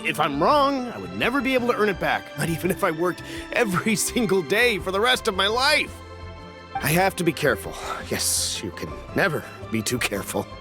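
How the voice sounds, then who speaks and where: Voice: mid-range voice, very animated, fairly quick, natural voice. An actor voicing a nervous, penny-pinching merchant in a video game, anxious and flustered. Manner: anxious, flustered and over-careful. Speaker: merchant buying goods. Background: game music.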